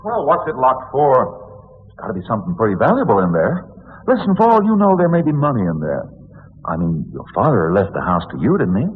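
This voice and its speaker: A boyish boyfriend in his early twenties, playful and pushy. His pitch leaps and dips wildly as he cajoles. It is an old radio play, muffled and swimming in long reverb. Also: wildly swooping pitch, brisk. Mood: cajoling. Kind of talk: old radio drama acting.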